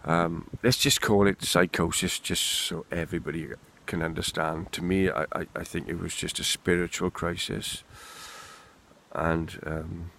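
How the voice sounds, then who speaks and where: Low gravelly Welsh man, slow. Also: steady tone, a bit bright, slight background noise. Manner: rambling, candid, swearing freely. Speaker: vlogger. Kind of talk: unscripted monologue to camera. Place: outdoors in the wind.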